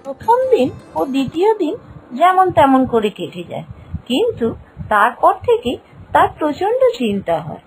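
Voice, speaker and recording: A high-voiced woman tells a children's story. Her pitch swings very widely in a dramatic, scripted narration. Soft music plays behind her. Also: big pitch swings, normal speed, low-quality recording.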